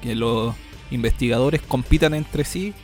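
A man's voice: medium pitch, a little slow, faint music behind. A man with a Chilean accent, co-hosting conversational commentary in a colloquial, argumentative manner. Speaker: co-host.

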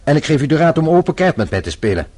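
An actor playing a shrewd, composed French police inspector in a radio play, speaking formally with measured insistence. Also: male voice, a little high, big pitch swings, a little mellow. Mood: stern, probing.

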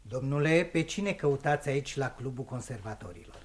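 An actor playing a formal, deferential, fussy club servant in a Romanian radio play. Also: male voice, mid-range voice, animated, lightly acted. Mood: polite, officious. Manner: formal, obsequious. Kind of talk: Romanian radio theatre acting.

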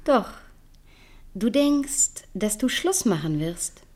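An actress playing a cool, sharp-witted, mocking woman lover in a German radio play, teasing, blunt and provocative. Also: medium pitch, big pitch swings, slow pace. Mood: cool, probing.

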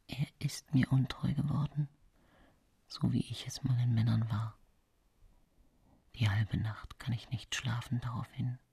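A woman's voice: a bass voice, mellow, breathy edge, full character voice. A calculating, confiding woman poisoner. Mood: haunted, weary. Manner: confiding, deliberate, shifting between whisper and voice. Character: accused woman poisoner, widowed, calculating, self-justifying, haunted. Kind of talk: radio drama monologue.

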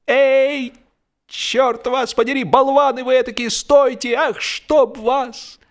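A high-pitched, exasperated boater acted by a male reader, hollering across the water. The voice is loud and strained upward, in the manner of a comic audiobook figure. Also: fairly even intonation, takes it slowly. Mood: furious. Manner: shouting calls across a distance. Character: exasperated man calling from a drifting boat.

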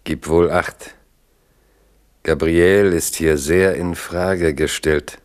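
A man's voice: deep voice, steady tone, takes it slowly. A man narrating a radio play in a grave, solemn storytelling manner. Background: guitar music.

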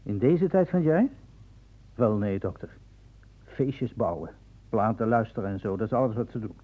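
An actor playing a casual, dismissive medical assistant reporting matter-of-factly in a radio drama. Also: male voice, fairly low pitch, animated, moderate pace, warm tone. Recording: tinny, phone-like narrow sound.

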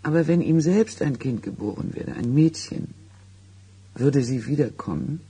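Radio drama role: haunted man confiding an old secret, very husky, mellow, halting and dramatic. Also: medium pitch, animated, full character voice. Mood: intense, confiding. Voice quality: husky, gravelly. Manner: halting, dramatic storytelling with weighted pauses. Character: haunted man recounting an eerie childhood secret. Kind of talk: German radio play acting.

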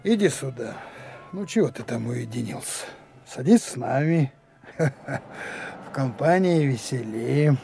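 Unhurried gruff, kindly police detective. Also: male voice, medium pitch, animated, dark tone, slight background noise. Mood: hearty. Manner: folksy, avuncular, unhurried. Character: gruff, kindly senior police detective mentoring young trainees. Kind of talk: Soviet radio drama acting.

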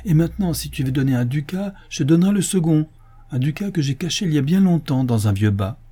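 A man's voice: a little high, expressive, fairly quick, warm tone, slightly rough, voice pushed up. A man voicing an indignant, insistent wife and mother in a storybook reading, scolding and urgent. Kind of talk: audiobook character acting.

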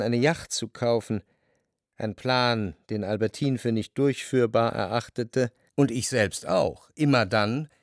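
An actor playing a reflective, possessive, melancholy gentleman who narrates a literary radio drama in a measured, articulate, introspective way. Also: mid-range voice, no room sound, studio quality, close to an everyday voice. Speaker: first-person narrator. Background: music.

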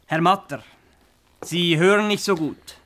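A man's voice: sits high, unhurried, fairly bright tone. An actor in a radio play voicing a formal, polite male official conducting an interview. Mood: questioning.

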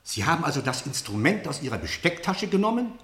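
A man's voice: on the high side, big pitch swings, fast, a bit bright, roomy sound. A man acting in a German radio play as a stern interrogator, formal, probing and accusatory with a suspect. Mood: accusing, insistent. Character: stern, insistent male interrogator pressing a murder suspect.

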